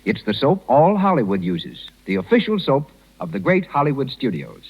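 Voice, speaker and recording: Animated 1930s American radio announcer, male. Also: medium pitch, normal speed, slightly rough. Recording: dull 1930s radio recording.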